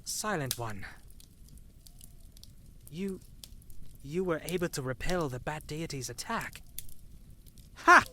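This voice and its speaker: A woman's voice: low-pitched, lively intonation, slow, pauses often, pushed and bright, slight background noise, full character voice. A woman playing an earnest, easily astonished companion in a visual novel, with an exclamatory, stammering delivery. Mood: startled, amazed. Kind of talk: dramatic visual novel voice acting.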